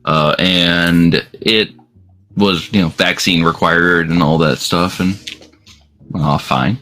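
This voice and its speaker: A man with a bright, edged tone throws out quick, wry remarks among friends. Faint music runs in the background. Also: low-pitched, steady tone. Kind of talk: casual group chat.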